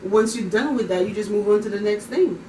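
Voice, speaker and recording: A woman's voice: mid-range voice, fairly quick, a crowd in the background. A woman from Haiti, a community advocate, chatting casually as a guest and laughing easily. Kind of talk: casual conversation. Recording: echoey room, distant mic, noisy.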